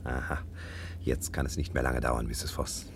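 An actor playing a self-assured, bustling private detective in a German radio drama, brisk and pompous, barking orders and giving smooth assurances. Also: male voice, a bass voice, level tone, noisy background. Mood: calm, courteous.

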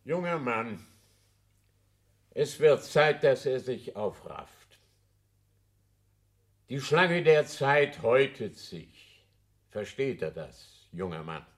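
A man's voice: medium pitch, very animated, slow, long pauses. An actor playing a dignified, pompous king of about sixty in a radio play, with solemn archaic speech. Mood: solemn, commanding. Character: dignified, imperious king around sixty from centuries past. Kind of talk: theatrical radio drama acting.

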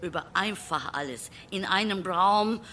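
An actress playing an earnest woman who longs to be heard, in a deliberate, insistent radio play monologue. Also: mid-range voice, bright, forceful tone, a little hiss or hum. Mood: earnest longing.

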